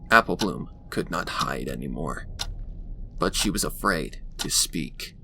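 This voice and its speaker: A man's voice: rumbling low, expressive, measured pace, vocal fry, pushed and bright. A man narrating a scripted dramatic story on a noisy home recording.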